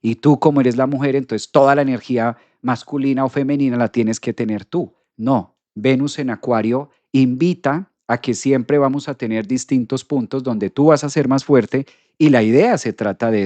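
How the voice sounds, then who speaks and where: Man, astrology host, emphatic and repetitive, mid-pitched, dry sound. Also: normal speed, hardly any pauses, mellow, dry recording. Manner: emphatic, repeats phrases, agrees with "exacto". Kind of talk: live-stream talk reading viewer comments.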